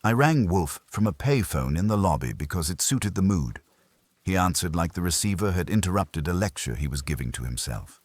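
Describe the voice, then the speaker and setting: Very deep, husky male detective-story narrator. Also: very animated, a little fast, no echo. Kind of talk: scripted audiobook narration of a hardboiled detective story.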